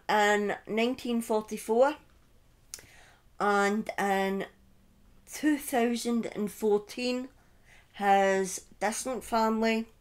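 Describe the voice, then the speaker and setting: A middle-aged woman telling a true-crime story informally on a livestream, speaking deliberately with pauses and emphatic repetition, close to a desk microphone. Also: mid-range voice, a little mellow. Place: small indoor room at home. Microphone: close desk microphone on a boom arm.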